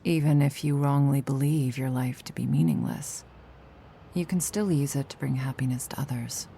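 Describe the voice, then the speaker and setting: A woman playing an ageless vampire gardener in a slow, intimate ASMR roleplay, measured and solemn with a deadpan edge and a soft, sometimes breathy voice. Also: extremely low pitch, noisy background. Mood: solemn, wistful. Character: ageless vampire woman gardener, sardonic yet tender, protective.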